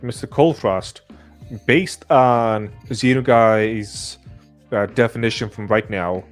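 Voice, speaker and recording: A man with a slightly low voice moderates a casual podcast chat. He restates ideas simply, a piece at a time, and steers the talk. Music plays faintly in the background. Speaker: discussion host and moderator.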